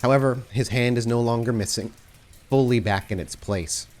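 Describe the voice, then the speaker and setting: A man narrating a tabletop roleplaying game as game master, setting scenes in a measured, atmospheric way. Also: mid-range voice.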